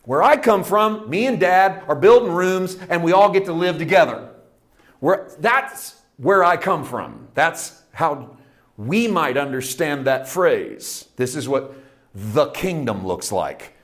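A man preaching a sermon, building with repeated phrases and dropping to hushed, intimate passages. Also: fairly high pitch, animated, slow pace. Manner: emphatic, repetitive preaching cadence, swings from loud to hushed. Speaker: pastor.

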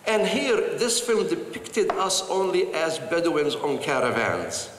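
An older man from Palestine telling personal stories to an audience with earnest, animated delivery and frequent pauses. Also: a little high, slight background noise. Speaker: speaker. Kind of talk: personal storytelling talk. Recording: echoey hall with light ambience.

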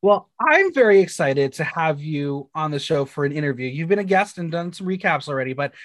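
A man with a somewhat raised speaking pitch hosts a relaxed interview. He asks questions and drops quick friendly interjections. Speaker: podcast host. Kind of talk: casual interview chat.

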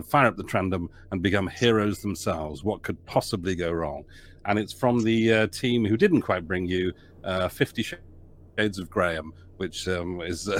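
A man who is a producer and writer, guesting on a podcast over a video call, joking and rambling with frequent laughs. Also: low-pitched. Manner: jokey, rambling, laughs a lot. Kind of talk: casual interview chat.

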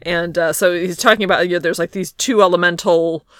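A woman's voice: fairly low pitch, fairly even intonation, a little fast, a bit bright, no room sound, studio quality. A woman co-hosting a podcast, casually recapping a comic book in a wry, playful, loosely improvised way. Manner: wry, playful, often laughing. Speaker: podcast co-host.

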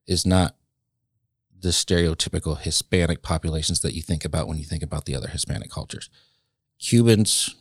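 A man in his late thirties talks in an extremely low, gravelly voice. He is a guest in a casual conversation, thinking aloud with frequent ums and self-corrections. The room sounds dry and close. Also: no echo. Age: late 30s. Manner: reflective, halting, many ums and restarts.

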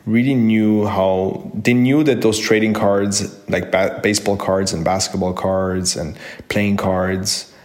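A man in his thirties with a fairly low voice tells his story as an online entrepreneur. He sounds earnest and keen, and often pauses on fillers as he finds his words. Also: fairly even intonation. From Austria. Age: 30s. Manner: earnest, enthusiastic, with frequent fillers and restarts. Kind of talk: personal story told to camera.

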